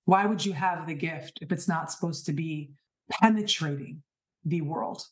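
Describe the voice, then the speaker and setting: Earnest low-voiced woman in her thirties. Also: moderate pace. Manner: earnest, emphatic, with repeated rhetorical questions. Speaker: podcast host. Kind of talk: inspirational spiritual monologue.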